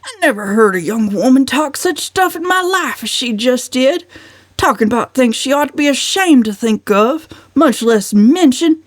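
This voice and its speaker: An indignant old uncle in a storybook drama, put on by a woman reader. He blusters and snorts scornfully with heavy emphasis. The voice rides high in a dry, close recording. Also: animated, moderate pace, dry recording.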